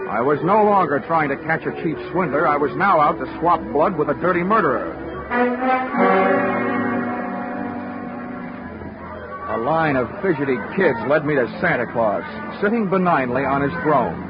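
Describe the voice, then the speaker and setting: An actor playing a wry, sardonic hard-boiled insurance investigator in a 1940s radio detective drama. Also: male voice, fairly high pitch, animated, a little fast, over music, natural voice. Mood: hard-boiled narration. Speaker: lead actor and narrator. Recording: noisy, muffled 1940s radio recording.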